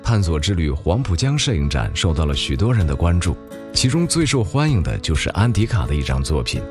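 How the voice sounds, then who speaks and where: Male narrator for a news feature, low voice with a lively, rolling pitch, measured reading over background music. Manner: measured, even-paced reading. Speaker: documentary narrator.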